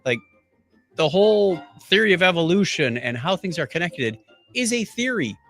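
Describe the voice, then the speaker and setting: A man's voice: sits high, sing-song, moderate pace, fairly bright tone, faint music behind. A man hosting a podcast in a casual, jokey, conversational way. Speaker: podcast host.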